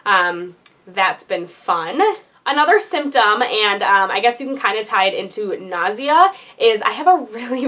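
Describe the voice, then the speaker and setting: Bright, chatty young woman vlogger. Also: medium pitch. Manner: chatty, many fillers and "like"s. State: congested. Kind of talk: casual vlog update.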